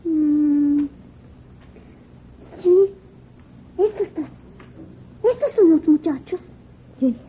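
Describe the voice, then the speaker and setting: A young woman witness, defensive and hesitant, with a very high, expressive voice. The sound is a thin, narrow vintage radio drama recording. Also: drawn-out words, pauses often. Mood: hesitant, recalling. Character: defensive young Spanish-speaking woman questioned by police. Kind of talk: 1950s radio drama acting.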